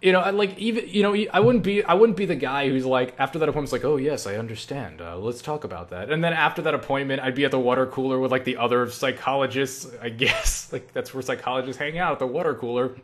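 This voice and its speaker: A man's voice: medium pitch, quick pace, few pauses. A young man answering viewer questions in a casual, rambling, self-deprecating way, as an online creator. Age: young adult. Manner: self-deprecating, animated asides with acted-out quotes.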